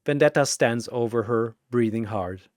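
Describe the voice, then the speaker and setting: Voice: mid-range voice, normal speed, darkish tone, no room sound, clean studio sound. A narrator reading a scripted battle story in a dramatic storytelling style.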